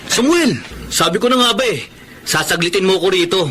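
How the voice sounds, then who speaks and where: A man's voice: sits high, expressive, moderate pace, sharp tone, faint music behind. An actor playing a friendly, teasing young Filipino police trainee who encourages his cousin in a radio drama.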